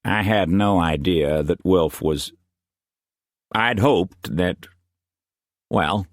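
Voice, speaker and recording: A man's voice: sits low, very slow, no room sound, studio quality, full character voice. A man voicing an earnest, anxious young suitor who speaks sincerely. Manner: earnest, pleading.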